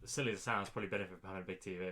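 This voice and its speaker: A man's voice: sits low, steady tone, very fast, a crowd in the background. A man co-hosting a podcast, chiming in with casual banter. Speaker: podcast co-host.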